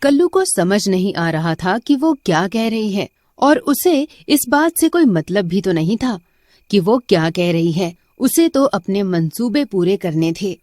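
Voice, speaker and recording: A woman's voice: mid-range voice, very animated, measured pace, a little mellow, no echo, studio quality. A woman narrating a scripted story as a storyteller.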